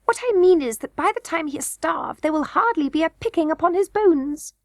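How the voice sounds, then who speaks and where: A greedy goblin queen from a storybook, her voice, as a woman reads her, pitched very high and oddly pinched. She is shrill, peevish and haughty, always sounding put out. The recording is dry and close. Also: animated, brisk, no room sound. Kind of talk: storybook reading.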